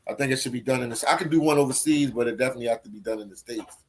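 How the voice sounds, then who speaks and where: A man with a husky, slightly breathy voice, speaking over a video call. He is a laid-back guest on a live stream and agrees easily, dropping in lots of short yeahs. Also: medium pitch, racing, low-quality recording. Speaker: guest YouTuber. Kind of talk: casual livestream chat. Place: abroad, remote call.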